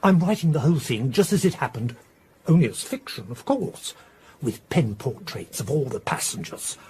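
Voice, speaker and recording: Excitable husky English mystery writer. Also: male voice, mid-range voice, moderate pace. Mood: thrilled, gushing. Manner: effusive, rambling, theatrical. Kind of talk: radio drama acting.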